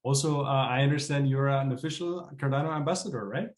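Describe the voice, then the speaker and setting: A man moderates an online meeting in a mid-pitched voice. He is casual and appreciative, speaking informally as he welcomes and thanks people. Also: fast talker, roomy sound. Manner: appreciative, informal, chuckling often. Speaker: host of an online community meeting.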